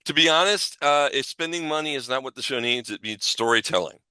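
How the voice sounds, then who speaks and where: A man co-hosting a casual podcast discussion, halting as he thinks aloud and rephrases his points. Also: medium pitch, pushed and bright, clean tone, dry recording. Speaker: podcast co-host.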